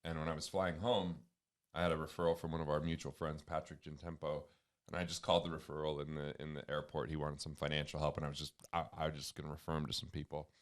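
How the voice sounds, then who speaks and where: Guest: booming-voiced man with a very low pitch, earnest and emphatic. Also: level tone, quick pace. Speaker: guest, financial author and comedian. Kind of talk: conversational interview.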